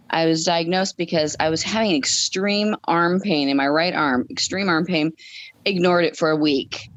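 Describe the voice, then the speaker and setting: A woman's voice: low voice, dull audio. A woman in her fifties telling a personal story conversationally as a podcast guest, stressing points by repeating phrases. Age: 50s.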